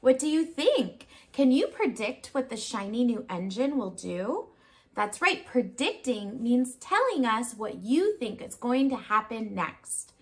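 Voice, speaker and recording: High, animated woman reading to preschoolers. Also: a little slow. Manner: expressive, simple child-directed phrasing. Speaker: preschool teacher reading aloud. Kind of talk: storybook read-aloud with questions to young children.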